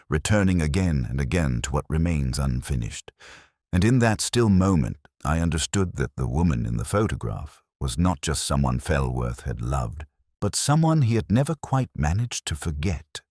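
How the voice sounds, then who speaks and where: A man playing a very deep-voiced, brooding detective. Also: darkish tone, no echo, studio quality, exaggerated voice. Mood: wry, melancholic. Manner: measured, reflective, literary phrasing. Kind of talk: scripted first-person detective narration, audio drama.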